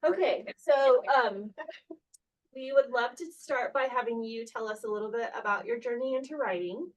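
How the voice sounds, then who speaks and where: A woman hosting a book club from a bookstore, asking a guest questions over a video call in a friendly, slightly halting way. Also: a little high, recorded from a distance. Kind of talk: casual group video chat.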